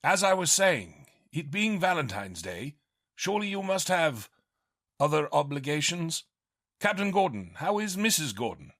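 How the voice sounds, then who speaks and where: A man's voice: on the high side, big pitch swings, moderate pace, a bit bright, dry recording, studio quality. An actor playing a polite, formal older male butler with polished diction.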